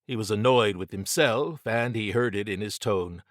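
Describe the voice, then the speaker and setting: A man's voice: on the low side, moderate pace, no echo. A man reading scripted dramatic fiction as an audiobook narrator, close to the microphone.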